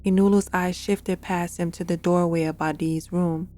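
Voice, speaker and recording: A woman narrating her own dark fantasy audio drama in a steady storytelling style. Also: lowish voice, moderate pace, soft background music. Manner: steady storytelling, voices every character. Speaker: narrator and author.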